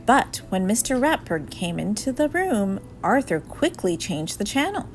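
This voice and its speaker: A woman narrates a children's picture book at an unhurried pace. Her pitch moves expressively as she tells the story, with faint music behind her. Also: very animated.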